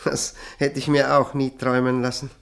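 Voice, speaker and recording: An actor playing a modest, courteous middle-aged lawyer in a radio drama, speaking in a hesitant, deliberate and polite way. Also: male voice, medium pitch, completely flat delivery, vocal fry, fairly bright tone, smooth. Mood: hesitant, wry.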